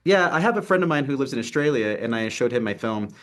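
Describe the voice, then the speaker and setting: A young man from Texas talks over a video call, sounding earnest and a little halting as he fills his pauses with ums and uhs. His pitch sits in a plain middle range for a man, and the tone is an easygoing interview chat. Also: expressive. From Texas, United States. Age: young adult. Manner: earnest, hesitant, many fillers. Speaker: film writer and actor, interview guest. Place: city apartment with street traffic outside.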